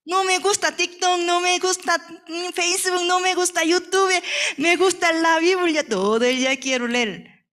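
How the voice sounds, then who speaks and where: A woman acts out an over-eager, gushing believer in a sermon skit. The voice is pitched high and has a Korean accent, full of exaggerated devotion. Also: measured pace, a bit bright. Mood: mock delight. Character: gushing, over-eager Bible-loving churchgoer.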